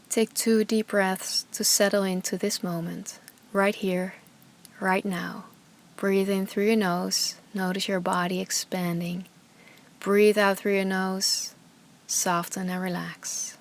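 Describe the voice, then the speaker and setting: Female meditation guide, unhurried, calm instructions, soft at times. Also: mid-range voice. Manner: slow, soothing instructions with long pauses. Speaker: yoga and meditation teacher.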